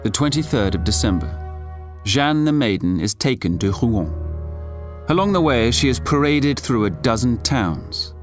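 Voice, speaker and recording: A man's voice: mid-range voice, sing-song, a little slow. A man playing the grave, formal narrator of a scripted historical audio drama. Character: solemn male narrator of medieval history drama. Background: music.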